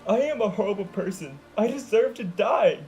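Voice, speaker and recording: A woman's voice: medium pitch, expressive, moderate pace, dark tone, faint music behind, pitched above the performer's own voice, natural voice, poor audio. A narrator voicing a guilt-ridden teenage girl who wails through choked sobs. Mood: anguished.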